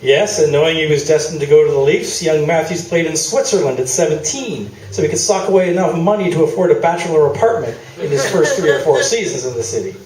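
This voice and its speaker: A man's voice: on the high side, quick pace, few pauses, darkish tone, natural voice. A man playing a sardonic, skeptical newsman in a live staged radio play, delivering dry, needling replies. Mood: wry, disapproving. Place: small theatre stage, indoors. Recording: roomy, distant live theatre video, noisy.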